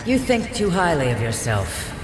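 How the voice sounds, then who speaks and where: Determined hero, reverberant and dramatic. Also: male voice, a little high, huge pitch swings, normal speed, noisy background. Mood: defiant. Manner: earnest, resolute. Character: determined heroic male warrior, defiant and blunt. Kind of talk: dramatic video game acting. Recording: reverberant, music behind.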